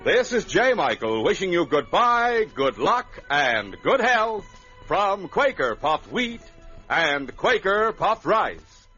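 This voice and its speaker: A man announcing an old-time radio serial and its sponsor pitches in a dramatic, punchy, hearty way. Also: very animated, takes it slowly, sharp tone, faint music behind. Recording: dull lo-fi vintage radio recording.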